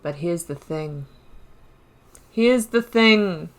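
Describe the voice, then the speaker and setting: A woman's voice: on the low side, expressive, extremely slow. A young woman giving casual let's-play commentary, chatting and thinking aloud about her choices in the story. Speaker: gaming video creator.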